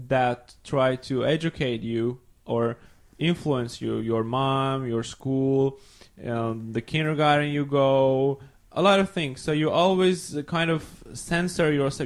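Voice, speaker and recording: A young man from Iran whose first language is Farsi, a podcast guest talking casually and searching for his words in English. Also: mid-range voice. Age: young adult. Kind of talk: casual chat.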